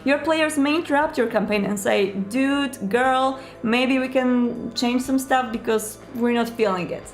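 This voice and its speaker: A woman host giving chatty, conversational advice to viewers in a casual style. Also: on the high side. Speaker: host of a roleplaying advice video.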